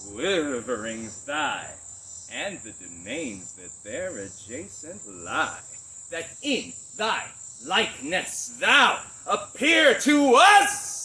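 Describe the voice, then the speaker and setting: A man's voice: a little high, sharp tone, slight background noise, exaggerated voice, low-quality recording. A man acting a witty, mocking young nobleman in a Shakespearean stage style, theatrical and declamatory. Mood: invoking.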